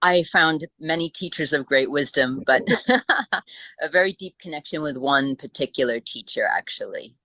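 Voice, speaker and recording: An American woman speaking reflectively as an interview guest, halting as she searches for words. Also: low-pitched, lively intonation, a bit bright, dry recording, low-quality recording. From born in Italy, grew up in the United States. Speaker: interview guest, Buddhist teacher.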